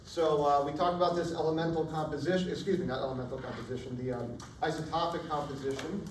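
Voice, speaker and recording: A man lectures on chemistry in a relaxed, chatty way, keeping the class with him through frequent "right?" checks. His somewhat high voice is getting hoarse. He is picked up from across an echoey lecture hall with faint background noise. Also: fast talker, recorded from a distance. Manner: casual, checks in often with "right?". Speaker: chemistry instructor.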